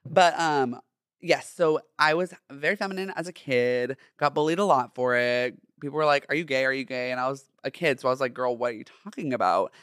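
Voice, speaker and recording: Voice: mid-range voice, a little fast, a bit bright, dry recording, studio quality. A podcast host in their twenties with a feminine-sounding voice, talking casually and playfully in chatty, slangy, emphatic monologue. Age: 20s.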